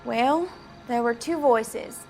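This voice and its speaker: A woman's voice: a little high, takes it slowly, a little mellow, soft background music, poor audio. An actress playing a polite, hesitant young woman in her twenties, a demure witness in a crime drama game. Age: 20s. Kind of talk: scripted crime drama acting.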